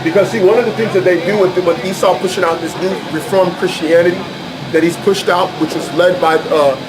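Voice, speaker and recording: A man's voice: high voice, fairly even intonation, fairly quick, background noise. An American man preaching and teaching scripture informally, arguing his points in an emphatic, repetitive, colloquial way. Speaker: street preacher and Bible teacher.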